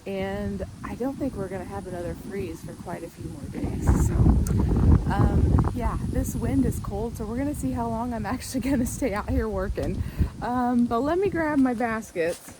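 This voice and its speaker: Raspy-voiced woman vlogging outdoors. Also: fairly high pitch, normal speed, very noisy. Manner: chatty, rambling with many ums. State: raspy from a sore throat. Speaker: vlogger, gardening channel host. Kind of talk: casual garden vlog. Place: outdoors in a windy garden. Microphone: phone held in hand.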